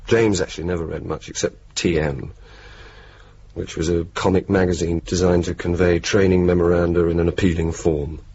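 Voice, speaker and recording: An actor playing a wry, laconic, bookish young RAF officer in a radio play, speaking in a dry, understated, deliberate way. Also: male voice, sits low, flat delivery, mellow, slightly rough. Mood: wry narration. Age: young adult. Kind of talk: naturalistic 1980s radio drama acting. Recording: muffled, hissy old radio broadcast tape.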